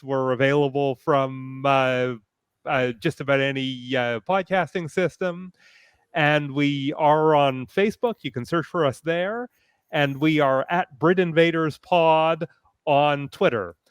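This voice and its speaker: A man speaking as a panelist in a casual online discussion, with hesitant, measured phrasing. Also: fairly high pitch, clear, resonant tone, dry recording, studio quality. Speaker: podcaster and panelist. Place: online video call.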